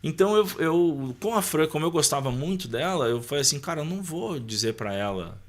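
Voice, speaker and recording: A man's voice: fairly high pitch, animated, a little fast. A man telling personal stories in a casual, conversational way as a podcast guest, often seeking agreement with little tag questions. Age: adult. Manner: conversational, punctuates with tag questions and quotes remembered dialogue.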